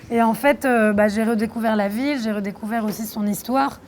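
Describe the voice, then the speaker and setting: Thoughtful young Frenchwoman, slightly high voice. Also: fairly even intonation, moderate pace, a little mellow, slight background noise. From France. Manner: reflective, thoughtful, with frequent hesitations. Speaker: documentary filmmaker being interviewed. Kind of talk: interview answers.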